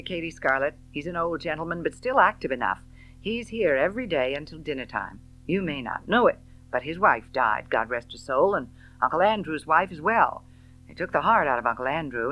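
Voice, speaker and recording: A woman voicing a genial middle-aged male shopkeeper in an audiobook novel, effusive, chatty and full of flowery flattery. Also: sits low, poor audio.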